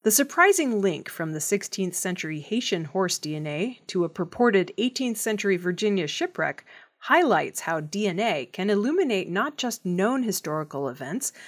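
Woman reading news with lively intonation. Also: mid-range voice. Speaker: news presenter. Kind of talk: scripted news narration.

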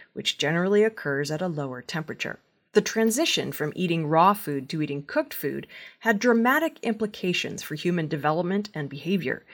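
A woman reads scripted news in a fairly low voice. Her pitch moves up and down a lot, giving the narration an animated shape. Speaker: news reader.

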